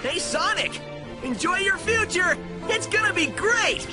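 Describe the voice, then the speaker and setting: An actor voicing a cocky, upbeat teenage hedgehog hero in a video game cutscene, brash and breezy. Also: male voice, extremely high pitch, lively intonation, a little slow, loud music behind the voice. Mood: friendly, upbeat.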